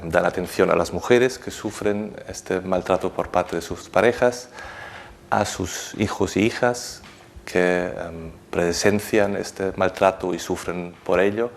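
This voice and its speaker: A psychologist in his forties, speaking in a measured way with small hesitations in an interview. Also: deep voice, gravelly, a little hiss or hum. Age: 40s. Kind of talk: documentary interview.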